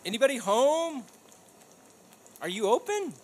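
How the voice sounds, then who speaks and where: A man playing an excitable, gullible cop with an American accent, questioning everything in exaggerated disbelief in a live audio comedy. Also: very high-pitched, a little slow, slight background noise, heavily acted. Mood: curious. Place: small studio stage, live audience. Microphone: studio condenser mic.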